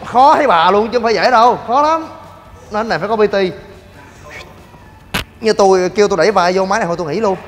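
High-voiced joking man in a gym. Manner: joking, rapid banter with loud coaching shouts. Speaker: fitness vlogger. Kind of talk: energetic gym demo vlog. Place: large indoor gym. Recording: long gym reverb with music.

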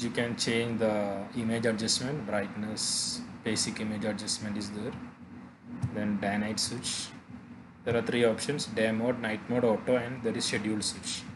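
A man narrating a software tutorial haltingly, pausing often mid-phrase. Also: medium pitch, noisy background. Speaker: tutorial presenter.

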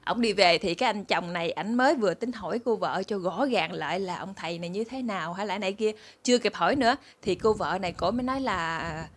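Female storyteller, middle-aged, southern Vietnamese accent, mid-pitched, conversational, talks straight to her viewers. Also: fast talker, hardly any pauses. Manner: chatty, conversational, addressing viewers as family. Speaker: channel host and storyteller. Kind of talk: casual storytelling to followers. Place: small room indoors.

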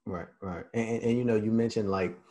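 A man's voice: fairly low pitch, fairly even intonation, brisk, dark tone. A man in his twenties hosting an interview over a video call, loose and rambling, often repeating words. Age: 20s. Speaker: interview host. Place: small indoor room. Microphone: webcam on video call.

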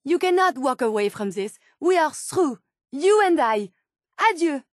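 An actress voicing a gentle, earnest female robot scientist turned human in a video game, with a French accent and formal, precise phrasing. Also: high voice, expressive, a little slow, no room sound, studio quality. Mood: hurt, upset. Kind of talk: video game character dialogue.

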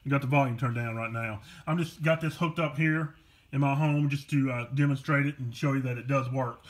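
Plainspoken man with a mid-pitched voice. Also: fairly even intonation, fairly quick. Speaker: online seller demonstrating a car stereo. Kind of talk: casual product demonstration. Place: carpeted room at home. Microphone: handheld camera behind the lens.

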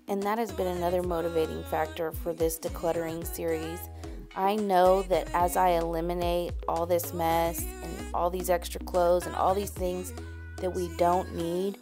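A woman in her thirties, a vlogger chatting upbeat and straight to her viewers while decluttering at home. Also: fairly low pitch. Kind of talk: decluttering vlog voice-over. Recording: music playing underneath.

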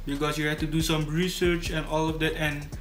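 A man's voice: fairly high pitch, level tone, a little fast, soft background music. A teenage boy from Suriname whose first language is Dutch, casually explaining his video process to camera in a small room studio, often restarting his words. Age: teens. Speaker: YouTube creator. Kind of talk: scripted tutorial vlog to camera. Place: small bedroom studio indoors.

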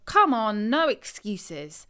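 A woman's voice: medium pitch, very animated, slow pace, sharp tone, smooth, full character voice. A British woman voicing a bold, dismissive uncle in a children's story, firm and commanding.

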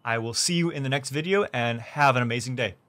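A young man hosting a gaming tutorial, explaining things clearly step by step from his streaming room. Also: mid-range voice, fast, a bit bright, no echo. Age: young adult. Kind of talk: tutorial narration. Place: small indoor room streaming setup.

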